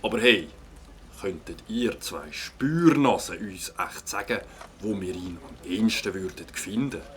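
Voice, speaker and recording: An actor playing a firm, methodical police captain in a children's audio drama, speaking formally with a Swiss German accent. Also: male voice, low voice, lively intonation, unhurried, a little hiss or hum. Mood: businesslike. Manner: formal, authoritative.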